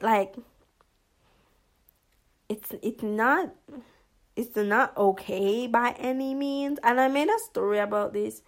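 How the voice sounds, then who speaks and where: A woman vlogger giving casual commentary in a monotonous voice, hesitant, with many fillers and restarts. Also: on the high side.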